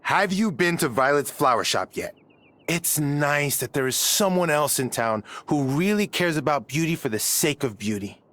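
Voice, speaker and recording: A man's voice: fairly high pitch, expressive, normal speed, fairly bright tone, a little hiss or hum. A man playing a friendly townsman who cherishes beauty, in a video game. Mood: appreciative.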